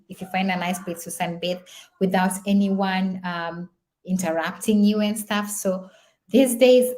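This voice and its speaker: A woman's voice: fairly low pitch, darkish tone, poor audio. A young woman whose first language is Swahili, talking in a casual, reflective vlog, chatty and full of fillers and repeats. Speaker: vlogger.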